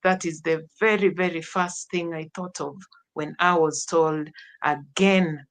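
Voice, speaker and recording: A woman with a Kenyan accent, a teacher, telling her story as an interview guest, slow and halting with many pauses. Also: deep voice, steady tone, slight background noise. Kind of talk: personal testimony in an interview.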